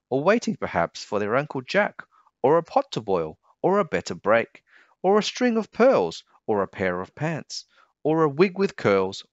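A man reading a children's storybook aloud expressively, with a deliberate, sing-song delivery of rhyming verse. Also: mid-range voice, no room sound, highs cut off. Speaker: storybook reader.

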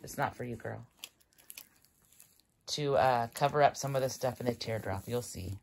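A woman's voice: very deep voice, normal speed, darkish tone. A middle-aged woman vlogger chatting casually and enthusiastically as she unboxes parcels, often exclaiming. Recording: clear background noise, crinkling packaging. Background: crinkling paper and packaging, clicks.

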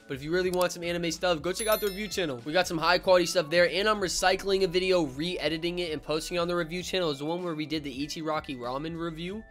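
Young man, reaction host, higher-pitched and bright, casual, profane, joking delivery. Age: young adult.

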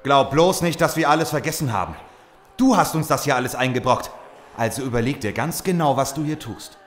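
A man's voice: mid-range voice, lively intonation, brisk, slight background noise, natural voice. An actor playing a determined, distrustful survivor who plans for his group in an audio drama. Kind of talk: German audio drama acting.

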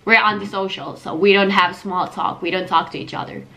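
A woman's voice: mid-range voice, steady tone, a little fast, pushed and bright, low-quality recording. A woman in her twenties from Finland, speaking with a Finnish accent and hosting a casual question-and-answer chat. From Helsinki, Finland. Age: 20s. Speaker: vlog host. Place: small home kitchen, indoors. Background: music.

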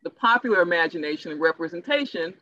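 A woman speaking in a formal, measured, academic way as a playwright in a panel discussion. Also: lowish voice, animated, pushed and bright, slight background noise. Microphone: video call. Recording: dull, lo-fi video call audio.

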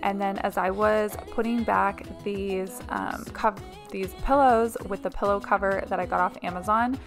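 A young woman giving a chatty, upbeat voice-over for a home vlog, with filler words like "um" and "like.". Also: mid-range voice. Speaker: home vlogger. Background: faint background music.

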